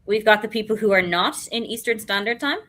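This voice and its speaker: Mid-pitched woman, wry and teasing. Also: brisk, a bit bright. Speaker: co-host. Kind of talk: casual chat on a video call. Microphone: video call.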